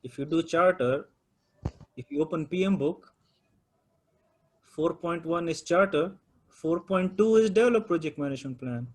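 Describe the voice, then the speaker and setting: Urdu-native guy teaching a class, husky voice kinda high, keeps prodding students for answers. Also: normal speed, pauses often. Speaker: project management instructor.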